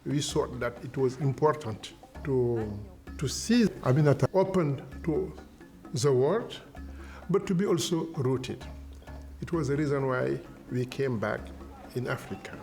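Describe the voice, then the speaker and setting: A man speaking slowly and deliberately in a documentary interview. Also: mid-range voice, gravelly, a little mellow, faint music behind.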